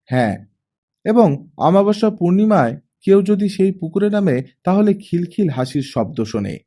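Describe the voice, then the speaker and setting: A man's voice: high-pitched, big pitch swings, moderate pace, warm tone, pitched above the performer's own voice, full character voice. A man voicing a talkative, playful, insistent young girl in an audiobook story. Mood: earnest, excited. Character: chatty, eager young girl teasing her father. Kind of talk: audiobook character reading.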